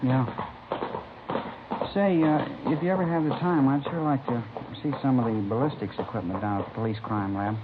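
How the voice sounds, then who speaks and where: An American actor playing an eager, talkative high school boy in a 1950s radio drama, polite and slightly hesitant. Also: normal speed, natural voice. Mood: enthusiastic. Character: eager, chatty teenage boy, high school amateur sleuth. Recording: tinny, noisy old-time radio recording.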